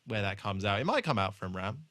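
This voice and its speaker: A man's voice: on the low side, big pitch swings, fast talker, pushed and bright, no echo. A man co-hosting a podcast, talking casually through a TV episode and often thinking aloud in a tentative way. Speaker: podcast co-host.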